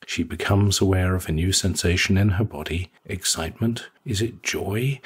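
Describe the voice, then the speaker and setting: Deep, very husky male narrator. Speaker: audiobook narrator. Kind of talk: scripted story narration.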